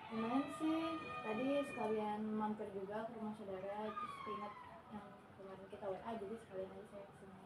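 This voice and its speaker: A woman's voice: medium pitch, huge pitch swings, fairly quick. A young woman playing a client in a counseling role-play, talking casually and rambling with fillers. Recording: echoey room, distant mic, noise.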